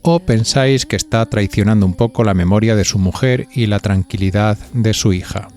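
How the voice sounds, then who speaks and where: A man's voice: fairly low pitch, normal speed, mellow. A man with an accent from Spain hosting a podcast, reading scripted narration and putting conversational questions to listeners. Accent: Spanish from Spain. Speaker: podcast host.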